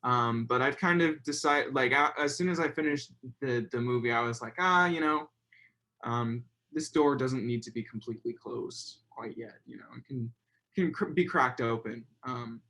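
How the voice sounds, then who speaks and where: A young man in a relaxed interview, his talk full of pauses and fillers. His voice sits a little high for a man and wanders through restarts as he thinks aloud. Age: young adult. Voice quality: fairly high voice. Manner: hesitant, many fillers and restarts. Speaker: guest. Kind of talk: casual interview chat.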